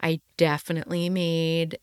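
A woman's voice: low-pitched, level tone, normal speed, smooth, no room sound, clean studio sound. A middle-aged woman co-hosting a podcast, chatting casually and playfully with a fondness for puns. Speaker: podcast co-host. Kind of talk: casual chat.

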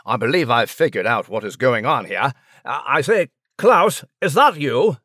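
A man's voice: mid-range voice, expressive, normal speed, bright, forceful tone, no echo, studio quality. A man voicing a playful host character who slips into a mock-pompous 'old chap' manner. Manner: goofy, with mock British 'I say, old chap'.

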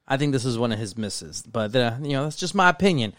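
A man's voice: medium pitch, lively intonation, fast talker, fairly bright tone, no echo, clean studio sound. An American man chatting casually as a podcast co-host about TV, opinionated, slangy and given to swearing. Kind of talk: casual chat about TV shows.

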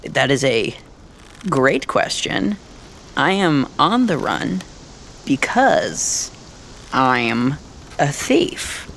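A man's voice: medium pitch, sing-song, drawn-out words, vocal fry, pauses often, a bit bright, a little hiss or hum, exaggerated voice. A man playing a nervous, chatty young American bluffing as a thief in a fiction podcast, rambling and stammering as he tries to please. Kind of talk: fiction podcast acting, casual modern speech.

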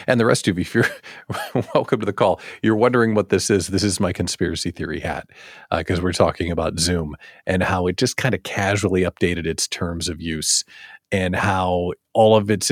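A man hosting a live show in casual chat, jokey and animated, often breaking off his own sentences and throwing out rhetorical questions. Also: deep voice, no echo, studio quality. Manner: animated, jokey, self-interrupting, exclaims and asks rhetorical questions. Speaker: host of a daily live show.

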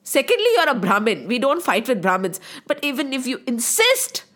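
A woman voicing a quick-tempered young prince who talks back defiantly and sarcastically in a children's myth tale. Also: high voice, very animated, a bit bright. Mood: taunting. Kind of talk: children's mythological storytelling.

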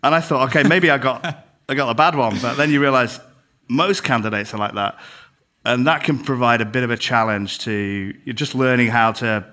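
Brief: male podcast guest, bright forceful tone, animated storyteller. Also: mid-range voice, normal speed. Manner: lively anecdotal storyteller, acts out quotes. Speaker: guest, recruitment business owner. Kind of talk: casual podcast chat.

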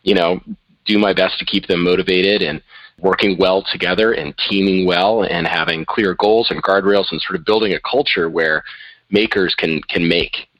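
Deep, friendly male guest in his thirties, relaxed and a little halting, dry lo-fi sound. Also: dry recording. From New England. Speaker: guest, tech executive. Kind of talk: casual interview.

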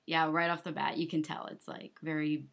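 Low-pitched woman podcast cohost, super casual, says like a lot. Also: level tone, a little fast, a bit bright. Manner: chatty, lots of "like". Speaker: podcast co-host. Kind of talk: casual movie chat.

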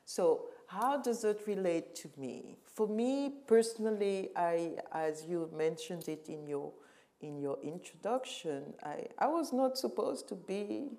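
A middle-aged woman from Cameroon, a curator and museum director, speaking reflectively and with emphasis in a panel conversation, often pausing to search for words. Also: mid-range voice, animated, dark tone.

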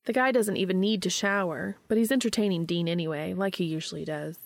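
Low-pitched woman narrating, dry close sound. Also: quick pace, gravelly, dry recording. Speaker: fan fiction reader and narrator. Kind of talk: expressive scripted story narration.

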